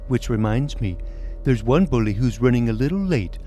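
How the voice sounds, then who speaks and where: A man's voice: mid-range voice, expressive, moderate pace, mellow, soft background music, close to an everyday voice. A man playing a smug, menacing young bully supervillain who taunts his victims in an audio drama. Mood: smug taunting. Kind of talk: audio drama villain acting.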